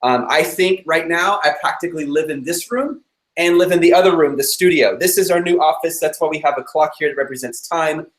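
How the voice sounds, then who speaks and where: A man's voice: fairly high pitch, roomy sound, low-quality recording. A man hosting a live interview from his office, talking eagerly and chatting with a ready laugh. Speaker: host. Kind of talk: upbeat live-stream interview. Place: his own office room.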